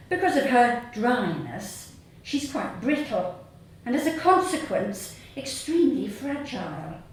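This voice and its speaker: A woman with a southern English accent reads her humorous short story live on stage, deadpan and measured, with pauses. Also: a little high. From Sussex, England. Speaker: author reading own short story. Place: small theatre stage before an audience. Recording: very echoey hall, distant mic, faint noise.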